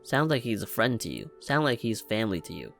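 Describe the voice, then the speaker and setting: A man's voice: fairly low pitch, sing-song, brisk, gravelly, a bit bright, soft background music. A young man giving casual let's play commentary in a joking, slangy, informal way. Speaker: gaming reaction YouTuber.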